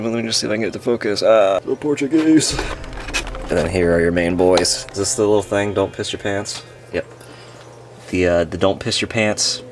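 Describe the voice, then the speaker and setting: A young man with a nasal, congested voice, chatting casually and excitedly as he unboxes and reviews a toy. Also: low voice. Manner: chatty, excited, rambling with fillers. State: sick and congested. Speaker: toy reviewer, channel host.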